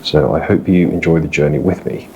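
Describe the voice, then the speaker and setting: A man with a received pronunciation accent guides viewers through an accent tour. His voice is deep, and he explains things in a measured way. Faint noise runs underneath. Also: steady tone, a little mellow, rough recording. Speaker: host.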